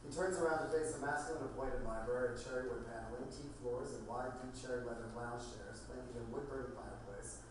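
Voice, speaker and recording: A man narrating screenplay directions from a script at a table read. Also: medium pitch. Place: echoey room, table read. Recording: very echoey room, distant mic, noisy.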